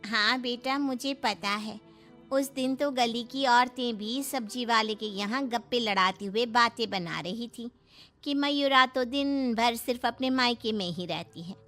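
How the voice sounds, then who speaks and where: A woman voicing a soft-hearted, doting middle-aged mother in a story, gentle and affectionate. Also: a little high, unhurried, soft background music. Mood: calm, mild.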